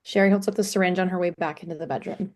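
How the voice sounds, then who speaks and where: Quick-reading woman narrating stage directions. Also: lowish voice, fairly even intonation. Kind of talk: scripted narration at a table read.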